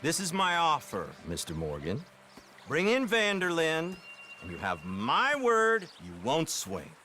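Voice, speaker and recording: A sharp, icy government agent. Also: male voice, on the high side, wildly swooping pitch, unhurried, a little hiss or hum, full character voice. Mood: cold, menacing. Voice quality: crisp, clipped and coldly polite. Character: cold, menacing male lawman, polished and contemptuous. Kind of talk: video game cutscene acting.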